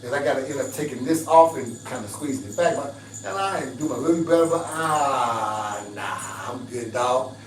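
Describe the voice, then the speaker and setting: A raspy-voiced middle-aged man on a livestream, talking straight to his viewers in an emphatic, preachy way. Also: medium pitch, animated, moderate pace, recorded from a distance, faint music behind. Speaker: fitness livestreamer. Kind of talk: casual livestream pitch to viewers. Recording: roomy livestream audio from off-mic with faint hum.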